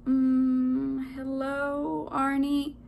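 A woman's voice: sits high, expressive, creaky, darkish tone, a little hiss or hum, voice pushed up, full character voice. A woman voicing a sheepish, flustered man in a children's storybook, hesitant and stammering. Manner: hesitant, stammering, drawn-out words. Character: sheepish, flustered man who eats donuts.

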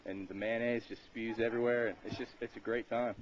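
A man's voice: mid-range voice, little pitch movement, brisk. A man making a casual prank phone call, rambling and slangy while keeping a straight face. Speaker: prank caller. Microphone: telephone. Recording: muffled noisy phone line, clicks.

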